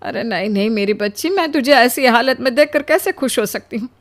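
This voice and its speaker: A woman plays an anxious, loving mother in a cartoon melodrama. The voice climbs high with fussing concern. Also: expressive, a little fast, lightly acted. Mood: alarmed, tender. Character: worried, loving mother of a grown daughter. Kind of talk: melodramatic cartoon story acting.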